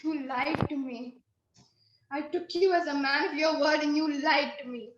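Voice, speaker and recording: Very high-voiced, relentless wailing wife. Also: female voice, steady tone, a little slow, muffled recording, a little hiss or hum. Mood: wounded, pleading. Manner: insistent, melodramatic, plaintive. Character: pushy, wailing, self-pitying wife petitioning officials, relentlessly stubborn. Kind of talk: comic stage-play acting. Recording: roomy, band-limited recording.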